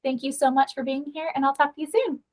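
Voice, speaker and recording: Kinda high-pitched lady hosting a chatty podcast, gushy and affirming. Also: steady tone, fast. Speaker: podcast host. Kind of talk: casual interview hosting.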